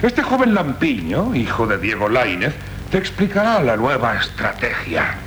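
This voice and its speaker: An actor playing a proud, pompous older Castilian count in a radio drama, sarcastic and courtly, with a Castilian Spanish accent. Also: male voice, wildly swooping pitch, moderate pace, fairly bright tone. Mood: scornful, mocking. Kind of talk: 1970s radio drama acting. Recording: noisy 1970s radio recording with rumble.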